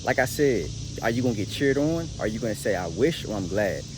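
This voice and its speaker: A young American man with a lowish voice talks directly to his audience in a casual motivational style. He is slangy and emphatic, often repeating phrases to drive them home. Clear background noise runs behind his voice. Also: big pitch swings, brisk. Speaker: motivational vlogger.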